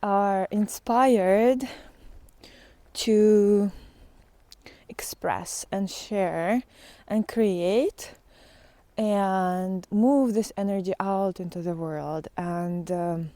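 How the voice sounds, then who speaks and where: A woman giving a casual, unscripted spiritual monologue in a reflective, halting way, often trailing into pauses. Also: mid-range voice, mellow, dry recording. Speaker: spiritual teacher vlogger.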